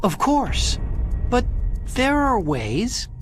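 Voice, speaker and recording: An actor playing an earnest, nerdy young computer hacker in a video game, eager and explanatory. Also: male voice, very high voice, huge pitch swings, drawn-out words, full character voice. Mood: earnest, confiding. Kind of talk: dramatic video game voice acting. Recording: prominent game music behind the voice.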